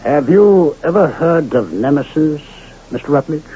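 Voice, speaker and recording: A courtly, sardonic frontier doctor, with a mellow voice and very expressive pitch. He speaks with measured politeness on a muffled old radio drama recording. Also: husky. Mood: sly, challenging. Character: wry, courtly Western doctor and card player.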